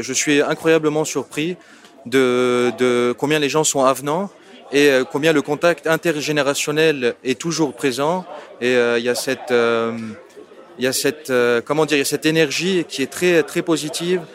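A man's voice: mid-range voice, normal speed. Enthusiastic man from Lebanon. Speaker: festival attendee.

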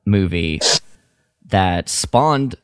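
A man's voice: sits low, lively intonation, extremely slow, clean tone, no room sound. A man co-hosting a podcast, chatting casually as a fan. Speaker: podcast co-host.

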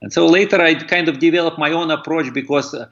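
A middle-aged man, a breathing teacher, answering interview questions in a halting way as he searches for words. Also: a little high, fairly even intonation, fairly bright tone, highs cut off.

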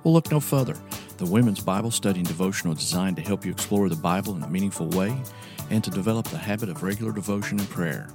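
A man's voice: sits low, lively intonation, fast. A man hosting a podcast, reading scripted narration in an earnest, sentimental manner. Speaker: podcast host. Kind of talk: scripted sponsor read and sign-off narration. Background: clear music.

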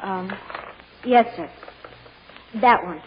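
A woman's voice: medium pitch, very slow. A woman playing a plain-spoken, fussy chambermaid giving hesitant, literal-minded testimony in 1940s radio drama style. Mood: earnest, hesitant. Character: plain-spoken, fussy working-class chambermaid on the witness stand. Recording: dull, noisy old-time radio recording.

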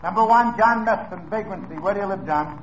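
An actor playing a stern police sergeant addressing a lineup audience in a 1950s radio drama, formal and commanding. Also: male voice, high voice, fairly quick, a little hiss or hum, close to an everyday voice. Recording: dull lo-fi old radio broadcast.

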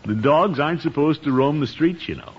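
A man's voice: a little high, animated, fairly quick, close to an everyday voice. A man playing a blustering pompous commissioner. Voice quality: hearty, blustering, chuckling often. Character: pompous middle-aged man, water commissioner. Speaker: lead of a radio sitcom. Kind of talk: 1950s radio comedy acting. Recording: muffled old radio broadcast with hiss.

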